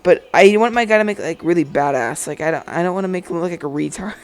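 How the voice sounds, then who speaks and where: A young man chatting casually while playing a game, excitable and crude, on a headset mic. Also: sits high, fast, clear, resonant tone. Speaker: gaming video host. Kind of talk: casual let's play commentary. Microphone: gaming headset.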